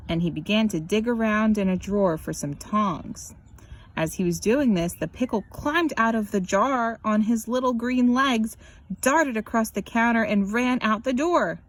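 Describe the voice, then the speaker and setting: A woman reads a picture book aloud as scripted narration, animated and drawing out words for emphasis. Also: medium pitch, normal speed. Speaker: children's story reader.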